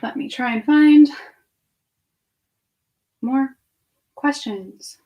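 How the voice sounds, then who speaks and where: A woman's voice: sits high, extremely slow, warm tone. A woman in her twenties chatting casually on a livestream, rambling and half-distracted as she thinks aloud and answers viewers' questions. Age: 20s. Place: room at home with bookshelves.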